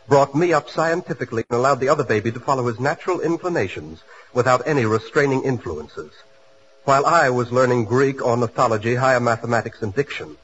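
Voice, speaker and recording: A man's voice: mid-range voice, fairly bright tone, natural voice. An actor in a 1940s radio comedy playing a pompous, aggrieved soundman with precise, formal diction. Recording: muffled, faintly noisy 1940s radio.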